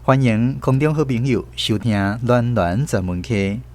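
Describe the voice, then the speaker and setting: A man's voice: medium pitch, measured pace, a little mellow. A man with a Taiwanese accent hosting and narrating stories in a scripted radio storytelling style. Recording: music bed behind the voice.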